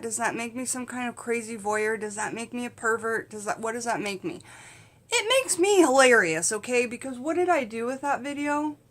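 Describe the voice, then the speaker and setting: A middle-aged woman telling a casual comedic story to camera in an animated, candid, conversational way. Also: fairly high pitch. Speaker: vlog host and storyteller. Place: small indoor space in front of a curtain.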